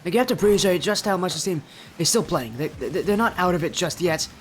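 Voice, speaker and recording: A young man doing solo play-by-play commentary for an esports match, sounding a little stuffy and yelling in high bursts at big plays. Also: expressive, quick pace, a little hiss or hum. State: slightly stuffy from allergies. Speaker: esports caster.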